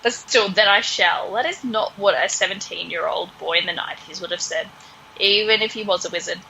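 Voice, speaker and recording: A woman's voice: on the low side, fairly quick, vocal fry, sharp tone, slight background noise, rough recording. A woman hosting a podcast, casually recapping a story in a sarcastic, exasperated way. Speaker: podcast host.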